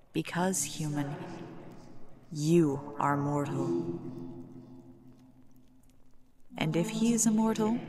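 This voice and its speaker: A woman playing an aloof, possessive deity of death who speaks deliberately and imperiously in a scripted roleplay monologue. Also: lowish voice. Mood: firm, possessive. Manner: slow, deliberate, imperious, with long pauses.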